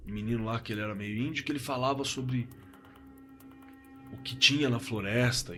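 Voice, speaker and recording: An actor playing a shaken young man who recounts a frightening memory in a halting, colloquial audio drama testimony, searching for words. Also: medium pitch, fairly bright tone, lightly acted. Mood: hesitant recalling. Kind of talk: audio drama testimony, spoken as casual recollection. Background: suspense background music.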